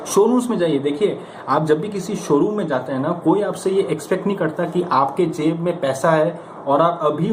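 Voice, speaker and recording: An Indian man giving an informal motivational lesson as a coach, in a conversational, emphatic and coaxing manner. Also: a little high. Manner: conversational, emphatic, coaxing, with rhetorical questions and acted-out examples. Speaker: stammering coach giving practice advice. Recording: echoey room with clear background noise.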